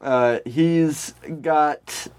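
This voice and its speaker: A man's voice: fairly high pitch, extremely slow, a little mellow, clear, resonant tone. A man co-hosting a casual comedy podcast, sweary and self-deprecating, often chuckling as he talks. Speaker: podcast co-host. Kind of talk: casual comedic chat about films and comics.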